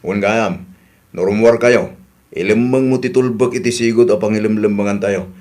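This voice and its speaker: A man's voice: lowish voice, fairly even intonation, normal speed, a little mellow, close to an everyday voice. A man playing a grumbling, reluctant village husband in a comedy radio drama.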